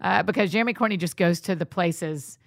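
A woman's voice: on the low side, brisk, gravelly, no room sound, clean studio sound. A woman hosting a casual interview, speaking in an enthusiastic and affirming way. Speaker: podcast host.

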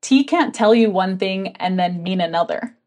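A woman's voice: medium pitch, lively intonation, normal speed, a little mellow. A woman who grew up in Hong Kong, a guest on a podcast, speaks candidly and reflectively about her life in a casual chat full of "like" fillers. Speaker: guest, tea ceremony guide.

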